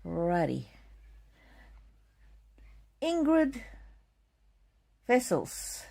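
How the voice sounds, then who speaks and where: A middle-aged woman from South Africa giving a gentle live oracle card reading, speaking softly and addressing viewers by name. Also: a little high, big pitch swings, extremely slow, vocal fry. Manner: gentle, soothing, talks straight to viewers by name. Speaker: card reader.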